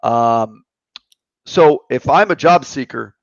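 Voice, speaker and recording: Man, fifties, live show host, chatty and joking, animated pitch, dull dry stream sound. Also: takes it slowly, vocal fry, dry recording. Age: 50s. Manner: chatty, joking, greets viewers. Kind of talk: casual live-stream chat. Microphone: computer mic on a live video stream.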